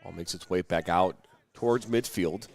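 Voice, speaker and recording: A man's voice: sits low, moderate pace, vocal fry, darkish tone. A man calling a youth soccer match play-by-play, chatty and explaining referee decisions at length. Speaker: play-by-play commentator. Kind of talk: sports commentary. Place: commentary booth at soccer complex.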